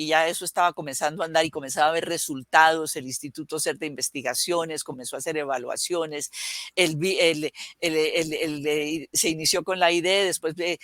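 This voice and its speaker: Older Colombian woman, guest educator: bright and forceful, a rambling talker with many restarts, dry close sound. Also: low-pitched, no room sound. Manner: rambling storyteller with frequent restarts and fillers. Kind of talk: reminiscing in a video interview.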